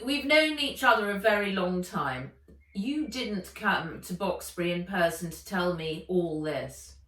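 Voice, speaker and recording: A woman's voice: mid-range voice, fairly bright tone. Woman as stiff older schoolman, roomy sound. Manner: formal, indignant, flustered under pressure. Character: older stiff, evasive male school official. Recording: roomy echo, distant mic.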